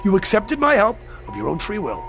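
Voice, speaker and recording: A man's voice: high voice, huge pitch swings, brisk, faint music behind, exaggerated voice. An actor playing a sly devil posing as a newspaperman in a radio drama, mock-genteel, teasing and menacing. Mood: gloating.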